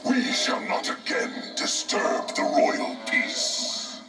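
A slow, reverberant many-souled construct. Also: male voice, distant mic. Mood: grave menace. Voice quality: reverberant, layered. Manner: slow, grave, speaks as "we". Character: Ermac, male construct of many merged souls, solemn and menacing. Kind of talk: video game fight intro dialogue. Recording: game audio with loud music.

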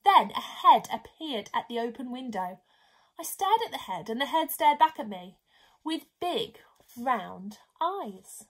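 Young female children's reader: high-pitched, very lively, dramatic stresses, stretched key words. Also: unhurried. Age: young adult. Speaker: story reader. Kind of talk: expressive children's storybook reading. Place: small bedroom, indoors.

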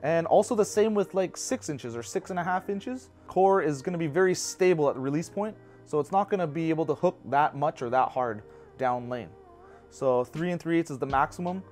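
A man's voice: a little high, fairly quick, darkish tone, faint music behind. A man in his thirties in a bowling pro shop, casually walking through a how-to with practical personal asides.

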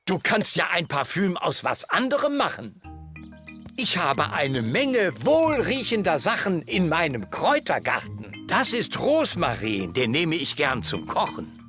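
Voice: medium pitch, sing-song, measured pace, fairly bright tone. A performer voicing a jovial, indulgent old grandfather pig in a cartoon, hearty and theatrical, with faint music behind.

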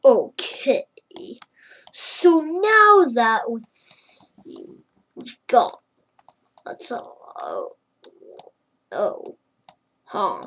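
A child narrating a homemade number-block animation in a slow, wondering way, with many hums and murmurs. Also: mid-range voice, huge pitch swings, pushed and bright.

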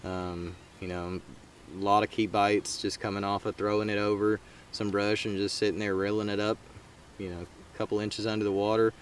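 A man, co-hosting a fishing channel and explaining gear in a relaxed, rambling way with many fillers. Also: lowish voice, brisk. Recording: slightly distant mic with faint noise.

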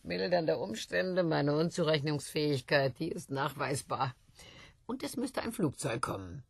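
A woman's voice: deep voice, animated, a little slow, no echo, clean studio sound, full character voice. An actress in a radio play voicing a self-loathing, taunting woman who pleads insistently, drifting from whispers to outcries. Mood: weary, wry. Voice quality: husky, breathy.

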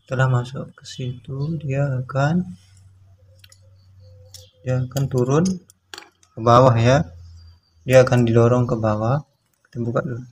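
A man walks through a repair at his workbench, explaining each part in a plain, practical way with plenty of fillers. His voice sits at a middle pitch, and faint background noise runs under the recording. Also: steady tone, a little slow, pauses often. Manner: plain, explanatory, with frequent fillers and tag words. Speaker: small engine repair instructor. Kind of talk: hands-on repair tutorial.

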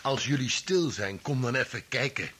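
An actor playing a gruff, hard-nosed criminal who takes charge, brusque and sardonic, in a Dutch radio play. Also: male voice, mid-range voice, expressive, moderate pace, slight background noise. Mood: commanding. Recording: sharp old radio recording with clicks.

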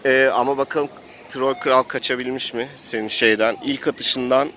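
A man's voice: mid-range voice, steady tone, moderate pace, pushed and bright. Man narrating a dramatic tabletop adventure. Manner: dramatic play-by-play storytelling. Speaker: game master and player. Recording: faint street noise and background voices.

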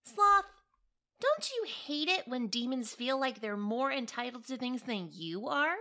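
A woman's voice: high-pitched, big pitch swings, unhurried, pushed and bright, exaggerated voice. A woman playing an eager, cheeky young female from heaven in an audio drama, perky and coaxing. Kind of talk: audio roleplay drama acting.

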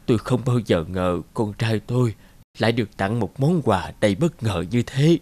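A man voicing a weary, gentle mother in a cartoon, speaking hesitantly and apologetically. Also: on the low side, animated, normal speed, no room sound. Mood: humbly grateful. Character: weary, gentle mother shopping with her young son. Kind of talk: cartoon moral-tale acting.

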